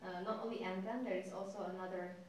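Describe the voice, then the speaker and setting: A woman's voice: mid-range voice, steady tone, fast talker, a little mellow, slight background noise. A woman in her thirties from Indonesia with an Indonesian accent, an English teacher answering interview questions with many hesitations. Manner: hesitant, many ums and uhs, laughs lightly. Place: classroom with whiteboard, indoors. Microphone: far camera mic. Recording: echoey classroom from far off.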